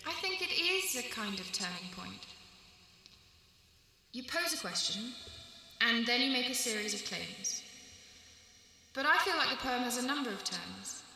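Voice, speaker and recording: A woman's voice: fairly high pitch, big pitch swings, unhurried, creaky, pauses often, sharp tone. A woman translator in a thoughtful literary conversation, recorded in an echoey, reverberant hall.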